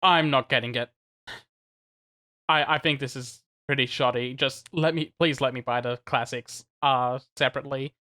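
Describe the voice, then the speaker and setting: A man co-hosting a casual gaming podcast, animated and chatty, laughing between points and restarting sentences often. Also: mid-range voice, moderate pace. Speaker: podcast co-host.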